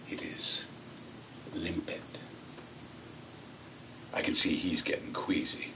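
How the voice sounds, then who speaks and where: A man acting as swaggering executive, sardonic storyteller, forceful bright voice, theatrical pauses, recorded from a distance with faint noise. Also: a bass voice, heavily acted. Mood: quietly menacing. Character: cynical, swaggering American corporate executive telling a story. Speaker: actor performing a monologue. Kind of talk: theatrical stage monologue, conversational storytelling. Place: acting workshop room.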